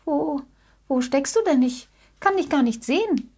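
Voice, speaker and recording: Woman voicing a timid little bear boy, high-pitched. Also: animated, a little slow, darkish tone. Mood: scared, searching. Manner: plaintive, timid. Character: small frightened young bear boy, lonely and childlike.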